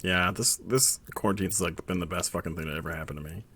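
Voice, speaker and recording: Low, bright-voiced joking game master. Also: male voice, fast talker, no room sound. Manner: joking, profane, runs the session. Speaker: dungeon master. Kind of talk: casual chat before a tabletop game.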